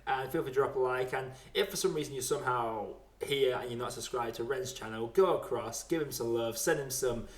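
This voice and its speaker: A man's voice: medium pitch, brisk, hardly any pauses. Male podcast host, casual film chat, echoey room. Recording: roomy, echoey sound.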